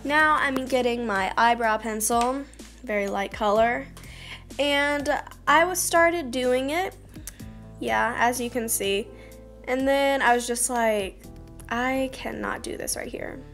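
A teenage girl with a slightly scratchy, fairly high voice talks through her makeup routine in a small bedroom. She rambles, jokes at her own expense and lets her words trail off into mumbles. The built-in camera mic sits at arm's length, with faint music in the background. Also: takes it slowly, fairly bright tone. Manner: rambling, self-mocking, drifts to a mumble. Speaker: beauty vlogger. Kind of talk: casual makeup tutorial chat. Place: small bedroom by a curtained window.

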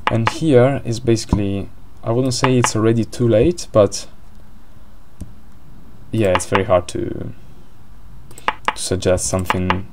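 A man's voice: fairly low pitch, fairly even intonation, unhurried, long pauses, background noise. A man with an Italian accent commentating a board game live, hesitant, with many fillers and restarts.

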